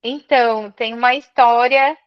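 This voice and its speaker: A woman's voice: fairly high pitch, steady tone, unhurried, lo-fi sound. A woman from southern Brazil with a gaúcho accent, talking reflectively as an interview guest and repeating phrases for emphasis. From Serra Gaúcha, Rio Grande do Sul, Brazil. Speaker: interview guest, business mentee. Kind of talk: reflective conversation.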